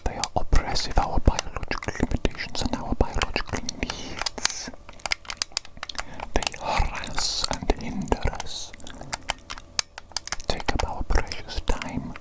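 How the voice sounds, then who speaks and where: A woman voicing a fussy, gleeful evil doctor in a whispered ASMR roleplay, softly repeating her phrases. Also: slow pace, pauses often, close to an everyday voice, low-quality recording. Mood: musing.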